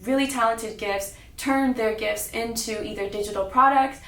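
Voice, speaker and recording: A woman's voice: a little high, normal speed, fairly bright tone. A young adult American woman telling her personal story as a public talk, recorded in a roomy space at some distance. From Sacramento, California, United States. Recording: roomy, echoing, distant mic.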